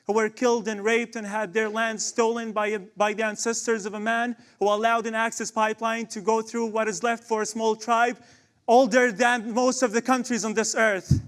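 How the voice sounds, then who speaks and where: A man's voice: high-pitched, level tone, moderate pace, fairly bright tone, clear, resonant tone. A young man from Palestine, performing impassioned spoken word poetry with rhetorical questions and repeated refrains. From Palestine, West Bank.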